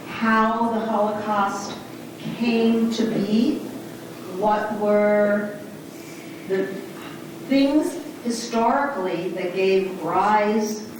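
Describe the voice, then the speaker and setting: A woman teacher introducing an evening program to seventh graders in a measured, firm way. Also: mid-range voice, background noise. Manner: measured, firm classroom address. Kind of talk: addressing students. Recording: distant voice in an echoey hall.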